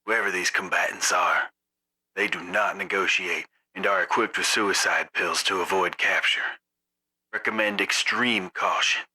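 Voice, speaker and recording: Man as stern military bulletin sender, low pitch, very sharp forceful tone, clipped and official, game radio message. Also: steady tone, measured pace, breathy edge, full character voice, poor audio. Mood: urgent, grim. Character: stern military man sending an emergency distress broadcast. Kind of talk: formal radio bulletin acting.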